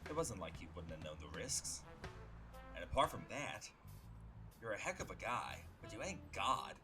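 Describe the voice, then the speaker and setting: A man's voice: fairly low pitch, sing-song, moderate pace, music in the background. Man playing a chatty, slangy streetwise hitman. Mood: reassuring. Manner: slangy, casual, blurting. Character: chatty, awestruck streetwise male hitman, brash but friendly. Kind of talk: dramatic comic-book dub dialogue.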